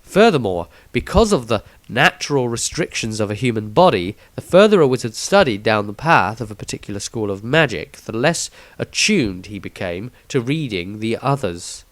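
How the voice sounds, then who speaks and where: A man narrating a scripted fantasy audiobook as its author, with measured, dramatic phrasing. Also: mid-range voice, very animated, no room sound. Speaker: author reading own story.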